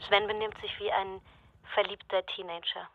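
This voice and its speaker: A woman's voice: medium pitch, little pitch movement, normal speed, dull audio. A woman playing a sardonic, watchful actress dictating a secret diary into a recorder in terse, dry fragments. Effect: played back from a dictation recorder. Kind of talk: clipped dictated audio diary in radio drama. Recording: noisy small dictation recorder.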